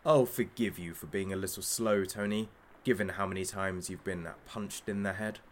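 A man's voice: low voice, steady tone, normal speed, lightly acted. An actor playing a masked kidnapper who hosts a sadistic hostage talk show in an audio drama, as a mocking, theatrical showman. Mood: gloating, mocking.

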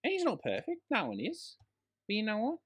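A man's voice: high voice, wildly swooping pitch, fairly quick, fairly bright tone, slightly rough. An Australian man co-hosting a casual film podcast, talking in a blokey, emphatic way with some swearing. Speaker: podcast co-host.

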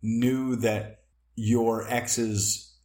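A man's voice: medium pitch, level tone, very slow, a little mellow, roomy sound. A young man co-hosting a podcast, teasing and swearing in casual banter. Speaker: podcast co-host. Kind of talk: casual chat.

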